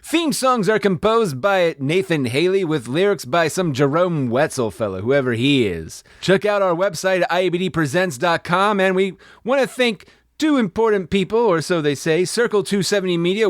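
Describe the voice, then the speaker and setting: A man's voice: high voice, animated, moderate pace, few pauses, dry recording, studio quality. A man announcing the credits of a radio comedy show in a scripted read with playful asides. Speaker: announcer reading the show's credits.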